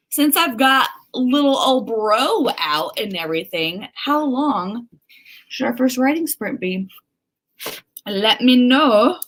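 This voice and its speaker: A woman's voice: mid-range voice, a bit bright. A woman hosting a casual livestream, chatting informally with viewers, reading out their comments and exclaiming as she acts out remarks. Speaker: livestream host.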